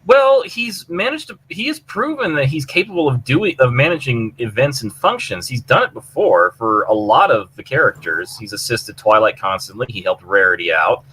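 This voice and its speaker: Bright-voiced man chatting on a fan podcast. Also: medium pitch, normal speed, few pauses, slightly rough, rough recording. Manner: animated retelling with acted-out quotes, casual swearing. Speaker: podcast co-host. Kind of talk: casual fan discussion of a cartoon episode.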